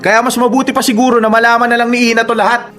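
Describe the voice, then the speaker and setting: A man's voice: very high-pitched, little pitch movement, racing, a bit bright, faint music behind. A man acting in a Filipino radio drama as a persistent, manipulative young suitor with an insistent, goading delivery.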